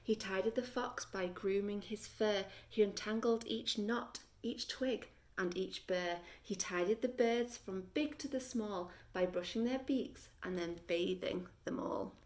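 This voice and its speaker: A woman reading a children's story aloud like a teacher, deliberate and expressive, with dramatic pauses. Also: mid-range voice.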